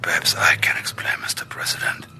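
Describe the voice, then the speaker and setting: An actor playing a middle-aged mind-control villain in a game, with a hushed, breathy, menacing and mock-courteous delivery. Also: male voice, a little fast, in a whisper, natural voice. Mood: smug menace. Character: sinister bald male mind-control villain bent on world domination. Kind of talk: theatrical villain acting in game cutscene.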